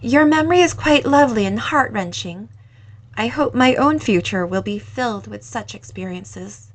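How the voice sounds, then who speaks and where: A woman's voice: fairly high pitch, animated, normal speed. A woman reading aloud, voicing a young woman who runs a cafe, curious and easily moved.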